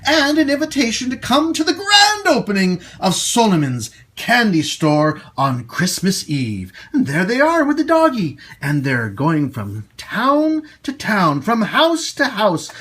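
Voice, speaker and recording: Children's storytime host, male, husky voice, highly animated pitch, emphatic delivery aimed at little kids. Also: unhurried, hardly any pauses. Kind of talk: expressive children's story reading and friendly announcements to kids.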